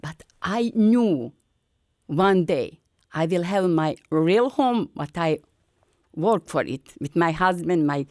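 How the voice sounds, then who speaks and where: An older woman from Bosnia with a Bosnian accent, telling her story in halting, broken English in an earnest, encouraging way. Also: fairly low pitch, lively intonation, a little mellow, no echo, studio quality. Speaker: featured artist. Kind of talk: personal storytelling.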